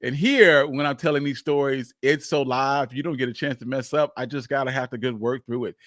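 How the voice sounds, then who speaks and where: A man hosting a casual, unscripted live history stream, speaking candidly in a halting, rambling way. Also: medium pitch, fairly even intonation, fairly bright tone, no room sound, clean studio sound. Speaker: livestream host, history storyteller.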